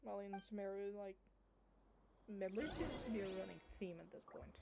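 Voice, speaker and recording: A woman's voice: medium pitch, a little fast, gravelly, very noisy. A woman giving casual, sweary, reactive playthrough commentary as a gaming streamer. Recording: loud game music under commentary. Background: video game music.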